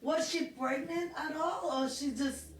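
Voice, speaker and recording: A woman's voice: high-pitched, steady tone, measured pace, echoey room, far from the mic, a little hiss or hum. A woman hosting an interview in a playful, teasing way. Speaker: podcast host.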